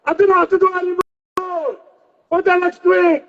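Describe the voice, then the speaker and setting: A man gives an impassioned political speech at a rally, declaiming forcefully and repeating phrases between pauses. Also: very high voice, little pitch movement, muffled recording. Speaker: protest rally speaker.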